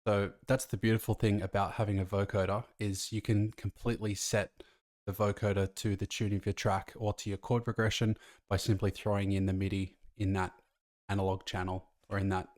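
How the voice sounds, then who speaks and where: A man's voice: lowish voice, a little mellow, no room sound, clean studio sound. A man casually explaining music production as a tutor, talking through each step of a tutorial. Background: faint music from the track.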